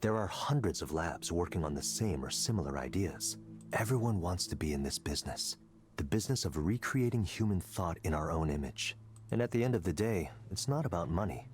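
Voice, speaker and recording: A man playing a confident, coldly intellectual tech executive in a visual novel, lecturing in a deliberate, persuasive way. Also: low-pitched, animated, darkish tone, soft background music. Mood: intense, philosophical.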